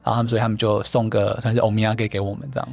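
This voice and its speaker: A man's voice: on the low side, little pitch movement, very fast, gravelly, darkish tone. A man with a Taiwanese accent chatting casually as an interview guest, explaining things at length and rephrasing as he goes. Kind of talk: casual interview chat, anecdotal.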